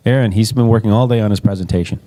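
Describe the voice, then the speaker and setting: A man's voice: on the low side, steady tone, rapid-fire, mellow. A man hosting a meeting and introducing speakers with informal, offhand, joking announcements. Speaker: meeting host introducing candidates.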